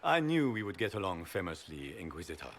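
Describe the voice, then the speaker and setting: A suave, grandiloquent nobleman with a French accent for a fantasy game. His lowish voice swoops theatrically through courtly flattery and sly scheming. Faint ambient noise sits behind him. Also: brisk, heavily acted. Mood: conspiratorial charm. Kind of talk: theatrical video game cutscene acting.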